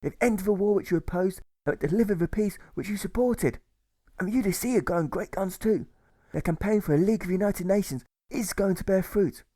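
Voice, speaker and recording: A man's voice: high voice, brisk, mellow, no echo, voice pushed up. A man voicing a genteel, impassioned suffragist woman making rousing speeches with flamboyant flair in an audiobook.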